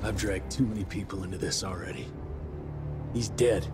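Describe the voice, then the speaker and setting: A man's voice: low voice, moderate pace, over music, lightly acted. A man playing a stubborn, brooding monster-hunting gunslinger in a dramatic Wild West video game, speaking tersely. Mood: grim, resigned.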